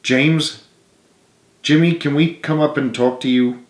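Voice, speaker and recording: A man's voice: fairly high pitch, steady tone, measured pace, pitched above the performer's own voice, lightly acted. A man voicing an earnest, remorseful, loyal male friend in a storybook reading, emphatic and pleading. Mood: gentle. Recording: roomy, echoing room sound.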